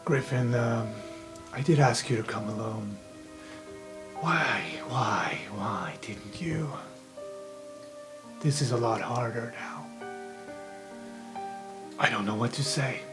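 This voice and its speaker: A man plays a renegade uncle in a fantasy game, smooth and evasive, with hushed theatrical courtesy hiding menace. Music plays clearly behind his mid-pitched voice. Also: slow, long pauses, lightly acted. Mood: wistful, guarded. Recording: background music under voice.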